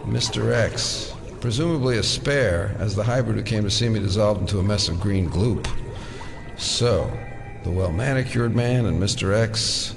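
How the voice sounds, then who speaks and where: An actor playing a defiant, sardonic American federal agent held captive in an audio drama, muttering wry asides. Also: male voice, fairly low pitch, gravelly. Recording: loud dramatic music behind.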